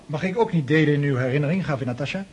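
An actor playing a pompous, boastful young officer and suitor in a radio play, formal and over-polite. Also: male voice, fairly high pitch, rapid-fire, a little mellow, breathy edge, highs cut off. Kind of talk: radio drama acting.

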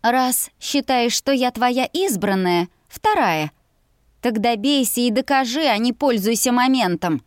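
Woman acting a fiery, indignant young woman, pitched up. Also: expressive, unhurried, dry recording, clean studio sound. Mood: angry, defiant. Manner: sharp, scolding, exclamatory.

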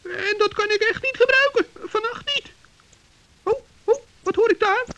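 Super high pitched little forest gnome, nervous, fretful, kids radio play. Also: male voice, normal speed, creaky, sharp tone, exaggerated voice. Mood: cautious, confiding. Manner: fretful, chattering, repeats himself. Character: small, anxious, well-meaning male forest gnome. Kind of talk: children's radio play acting.

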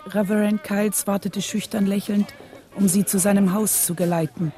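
A woman acting as a sober radio drama narrator. She tells the story factually in a mid-pitched voice, with clear music behind her. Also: steady tone, moderate pace, natural voice. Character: sober narrator recounting a historic killing.

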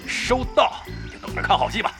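An actor playing a crafty, shameless veteran gamer in a Chinese audio drama, boastful and sly. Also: male voice, fast talker, music in the background, natural voice. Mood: smug, gleeful.

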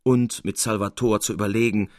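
A man reading literary fiction as an audiobook narrator, in an expressive storytelling manner. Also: fairly low pitch, fairly quick, no room sound, studio quality.

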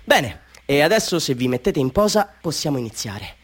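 An actor playing a chatty, self-mocking Bolivian street photographer hustling tourists, with ingratiating, mock-grand patter in a theatrical radio monologue. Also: male voice, fairly high pitch, sing-song, moderate pace, husky. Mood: ingratiating, jokey. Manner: showman patter, ingratiating, mock-grand, swinging into wry asides.

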